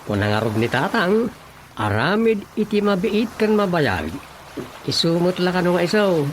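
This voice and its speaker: An actor playing a practical, agreeable nephew in an Ilocano radio drama comedy, conversational and persuasive. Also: male voice, high voice, sing-song, a little slow, a little hiss or hum.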